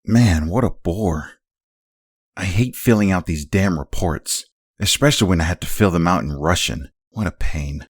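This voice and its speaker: A man's voice: low voice, moderate pace, breathy edge. A man playing a weary but protective government agent in an amateur comic dub. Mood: bored, grumbling. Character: weary, grumbling male government agent, protective when alarmed.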